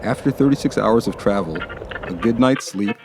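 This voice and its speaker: A man narrating a documentary in a measured, scripted voice-over. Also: on the low side, lively intonation, background noise.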